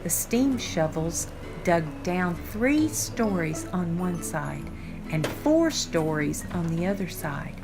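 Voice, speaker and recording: An older woman reading a children's picture book aloud, with deliberate, expressive phrasing for young listeners. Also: on the low side. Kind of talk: read-aloud storytime narration. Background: background music.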